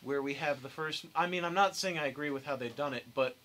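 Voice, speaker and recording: A man's voice: fairly high pitch, fast, sharp tone. A young man hosting a casual podcast discussion, with a wry, joking way of talking. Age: young adult. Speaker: podcast host.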